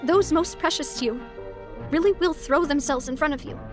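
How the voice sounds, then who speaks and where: A female actor as a young woman who leads a gang in a video game. Her voice is high and earnest, heartfelt and determined, with soft music behind it. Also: moderate pace, close to an everyday voice. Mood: pensive. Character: young female boss of a crime family, earnest and resolute.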